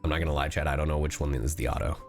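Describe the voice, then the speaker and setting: A man streaming a video game live, chatting casually in an animated, exclamatory way with some swearing. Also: extremely low pitch, rapid-fire, soft background music. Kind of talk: casual livestream chat and game reactions.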